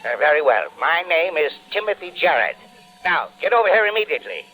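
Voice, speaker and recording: An actor voicing an irascible, demanding old millionaire who sputters with impatience in a vintage radio drama. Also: male voice, fairly high pitch, sing-song, brisk, pushed and bright, soft background music, exaggerated voice, poor audio. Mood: impatient, bossy. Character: cranky, imperious, eccentric old millionaire. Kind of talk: 1950s-60s radio drama acting.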